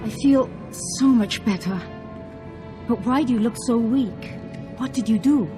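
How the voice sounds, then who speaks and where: A woman playing a gentle, grateful Jedi master, speaking in an earnest, measured, formal way in a video game. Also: a little high, over music, lightly acted. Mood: relieved, apologetic.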